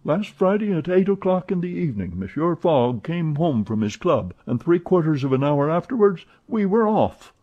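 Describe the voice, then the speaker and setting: A man voicing an excitable, chatty young French manservant who talks eagerly and protests often, in a dull lo-fi recording. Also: fairly high pitch, voice pushed up. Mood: excited. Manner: eager, exclamatory, quick to protest.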